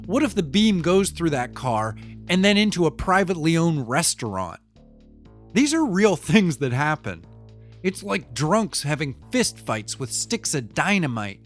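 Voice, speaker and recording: A man's voice: fairly high pitch, sing-song, measured pace, faint music behind. A man playing a cynical, pragmatic business type in an audio drama monologue, matter-of-fact, opinionated and conversational as he explains things. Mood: wry, animated.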